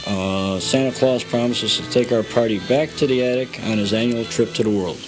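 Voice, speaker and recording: A man's voice: mid-range voice, normal speed, music in the background, rough recording. A man recorded at home as an interview guest, telling a story step by step in an informal guided way. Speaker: guest, hobbyist showing the village they built. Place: at home, indoors.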